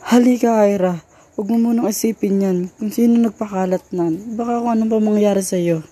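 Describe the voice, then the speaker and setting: A man's voice: high voice, fairly even intonation, a little fast, warm tone. A young man playing a protective, exasperated student friend in an amateur radio drama. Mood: urging, consoling. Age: young adult. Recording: faint background noise.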